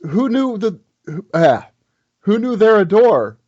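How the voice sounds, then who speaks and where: A man's voice: sits high, very animated, slow, a little mellow, no echo, muffled recording, heavily acted. A man playing a nervous young rookie trooper in a script table read. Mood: alarmed.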